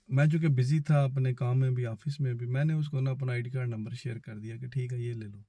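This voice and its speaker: A Pakistani man recounts a personal experience in a casual, conversational style. His voice is mid-pitched and relaxed, with a dark, mellow tone. He relays both sides of conversations as he goes, and the sound is dry, with very little room in it. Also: no room sound. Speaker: vlogger. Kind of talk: casual first-person storytelling.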